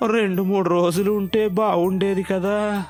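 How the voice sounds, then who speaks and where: A man voicing an ailing, gentle old village father in a story, mild and resigned. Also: sits high, level tone, measured pace. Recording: music under the voice.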